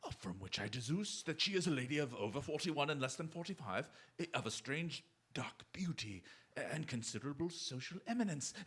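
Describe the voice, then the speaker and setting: Male actor as clever detective, droll, precise, self-assured, very lively intonation, theatrical radio drama. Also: a little high, brisk, husky. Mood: smug.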